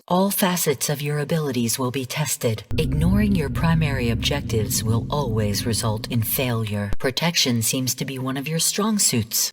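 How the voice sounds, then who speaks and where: An actress playing a composed, coolly commanding artificial intelligence who guides missions in a video game, speaking in formal, measured briefings. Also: female voice, a bass voice, breathy edge, in a large hall, heavily acted.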